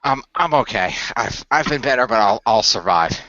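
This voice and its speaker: Man as a bright-voiced, pragmatic team boss. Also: medium pitch, lively intonation, normal speed, dry recording, highs cut off. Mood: concerned. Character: Noah, pragmatic weary male boss of a hero team.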